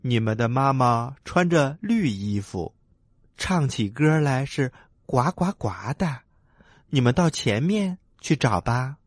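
A man voicing a big, kindly mother goose in a children's story, speaking in a drawn-out, helpful way. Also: mid-range voice, animated, dry recording, studio quality. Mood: surprised, helpful.

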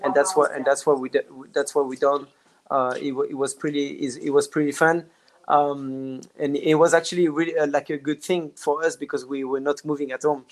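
A man with a French accent chatting in an interview as a dance teacher. He talks haltingly over a video call, often restarting and filling gaps as he gathers his thoughts. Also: fairly high pitch, flat delivery, rough recording. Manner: halting, many restarts and fillers. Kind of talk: casual interview chat.

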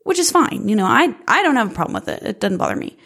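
A woman co-hosting a casual chat, telling stories with a loud, carrying voice and frequent laughter. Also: on the high side, quick pace, creaky, husky. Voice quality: loud voice that carries. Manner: animated storyteller who laughs often. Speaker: podcast co-host.

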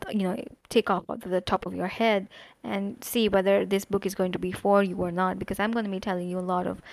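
A woman's voice: medium pitch, no echo. A woman hosting a casual solo podcast, chatting animatedly about a book with frequent fillers and asides. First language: not Korean. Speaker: podcast host.

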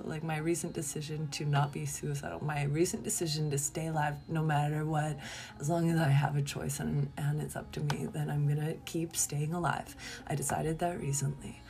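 Low, creaky-voiced woman rambling candidly. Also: mellow. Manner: candid, rambling, giggly, often trailing into breathy murmurs. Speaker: podcast host. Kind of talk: casual confessional solo podcast.

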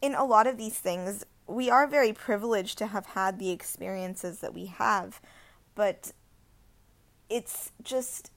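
A young woman from India co-hosting a podcast, telling a story in a casual, animated way with lots of "like" fillers. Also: mid-range voice, measured pace, vocal fry. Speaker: podcast co-host. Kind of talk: casual chat.

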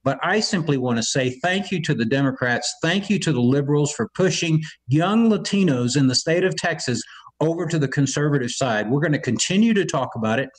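A man's voice: a little high, animated, fairly quick, husky, poor audio. Emphatic Cuban-born man on a video call. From Cuba. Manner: emphatic, repeats words when starting. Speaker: political guest. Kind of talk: impassioned political talk.

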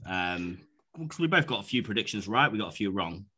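A man's voice: lowish voice, animated, fairly quick, sharp tone, slightly rough. A man co-hosting a casual podcast, stuffed up with a cold, rambling with many restarts. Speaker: podcast co-host.

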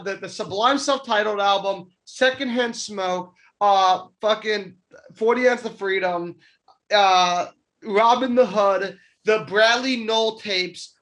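High-voiced joking podcast guy. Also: unhurried, a bit bright. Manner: rambling, stammering, laughs a lot. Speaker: podcast co-host. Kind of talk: casual comedic chat.

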